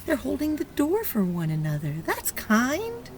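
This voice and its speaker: A woman teacher reading a picture book aloud to young children, stopping to ask questions and encourage them in an expressive way. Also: high voice, a little slow. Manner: encouraging, praising child listeners; expressive, exclaiming and prompting. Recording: clear background noise.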